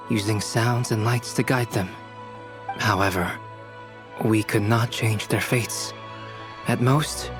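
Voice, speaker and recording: An actor playing a composed, analytical young scholar in a fantasy game, speaking formally and precisely. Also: male voice, mid-range voice, lightly acted. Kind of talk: scripted game dialogue. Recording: music clearly under dialogue.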